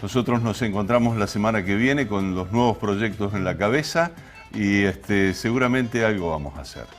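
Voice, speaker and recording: A man's voice: fairly low pitch, normal speed, soft background music. A middle-aged man with an Argentine accent hosting a radio interview in a wordy, reflective way. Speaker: radio host.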